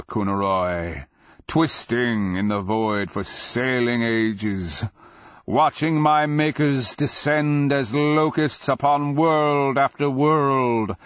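A man voicing an ancient, proud undead dragon with slow, grandiose roaring declamation in a fantasy audiobook. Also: fairly high pitch, no echo, dull audio, pitched above the performer's own voice. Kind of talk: fantasy audiobook character acting.

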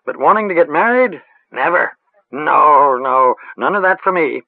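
A woman's voice: low voice, lively intonation, unhurried, no echo, voice pushed up, full character voice. A man voicing an old female village witch who speaks in a rustic, sing-song dialect, over a tinny, phone-like line. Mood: musing. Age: older.

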